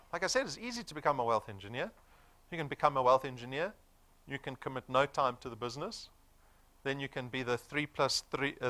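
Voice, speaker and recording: A South African man around forty delivers a motivational sales presentation. His pitch swings widely and his tone is bright and punchy. He is emphatic and persuasive, often checking in with rhetorical questions. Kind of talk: motivational business presentation to an audience.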